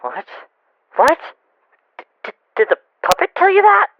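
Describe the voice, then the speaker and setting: A man's voice: mid-range voice, expressive, a little fast, creaky, fairly bright tone, no room sound. A man playing an anxious, rattled coworker on the phone in an audio drama, stammering nervously through a phone filter. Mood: panicked. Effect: phone or radio filter. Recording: muffled, band-limited phone call effect.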